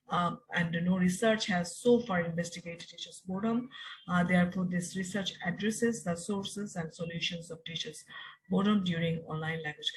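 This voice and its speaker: A woman with a Bangladeshi accent giving an academic research presentation as a university lecturer, in a halting, word-by-word way. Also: lowish voice, slight background noise, rough recording.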